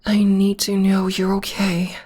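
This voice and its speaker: A woman voicing a gentle young witch girlfriend, soothing her upset partner in a soft, often whispered voice close to the microphone. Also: mid-range voice, completely flat delivery, measured pace, slightly rough. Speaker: voice actress. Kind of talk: ASMR audio roleplay.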